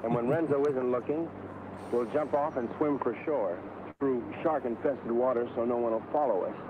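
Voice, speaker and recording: A man in a 1960s film, delivering dialogue and voice-over narration in a smooth, wry, self-assured way. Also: mid-range voice, moderate pace, muffled recording. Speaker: actor in an old film. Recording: old film soundtrack with clear hiss.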